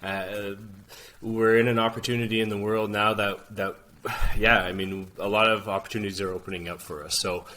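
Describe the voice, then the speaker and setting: A man from Canada, in his forties, talks as a podcast guest over a video call. His voice sits low but sounds bright and forceful, and he talks haltingly, with frequent pauses. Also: little pitch movement. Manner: hesitant, many uh fillers and restarts. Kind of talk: casual interview talk.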